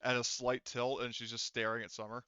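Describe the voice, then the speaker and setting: A man working as game master, narrating a tabletop roleplaying game in a halting, conversational way. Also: medium pitch, flat delivery, sharp tone, highs cut off.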